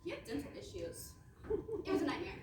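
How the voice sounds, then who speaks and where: A woman's voice: sits high, very animated, quick pace. A woman playing a perky, self-absorbed young princess in a comic stage play, gushing and bossy. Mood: breezy, catty. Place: roomy indoor stage, recorded from afar. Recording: echoey room, distant mic, noisy.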